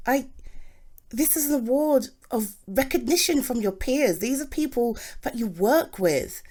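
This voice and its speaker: A British woman acting as a doting, supportive girlfriend in an intimate ASMR roleplay, affectionate and halting as she talks to her partner. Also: high-pitched, animated, a little mellow. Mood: reassuring, insistent. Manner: gushing, affectionate, halting with sighs and repeats.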